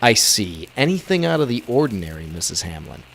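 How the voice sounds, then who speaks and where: Gravelly tough radio hero. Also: male voice, lowish voice, big pitch swings, a little fast, fairly bright tone, slight background noise, full character voice, poor audio. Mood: calm probing. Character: cool, tough vigilante hero in 1920s radio crime drama. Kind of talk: old-time radio acting.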